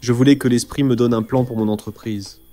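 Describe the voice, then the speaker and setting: Man voicing confused male seeker, cartoon, impatient, questioning, dark soft timbre, music behind. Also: mid-range voice, fairly even intonation, fairly quick, lightly acted. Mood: confused, frustrated. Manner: questioning, complaining. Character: puzzled, impatient man seeking guidance from spirits. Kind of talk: dubbed cartoon dialogue.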